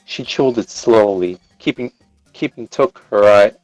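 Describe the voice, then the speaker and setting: Low-pitched man narrating a story live. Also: a little slow, a little mellow, dry recording, faint music behind, poor audio. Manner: stumbles and rereads phrases. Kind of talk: live read-aloud narration.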